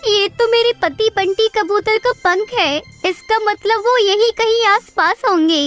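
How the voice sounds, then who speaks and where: An anxious, devoted little female bird from a children's cartoon, acted by a woman. The voice is extremely high, earnest and pleading, over light music. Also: unhurried, fairly bright tone.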